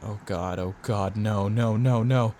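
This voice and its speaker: A man's voice: on the low side, steady tone, a little slow, a little mellow, slight background noise, natural voice. A man voicing a distraught young man who cries out for his girlfriend in a dramatic story. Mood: horrified.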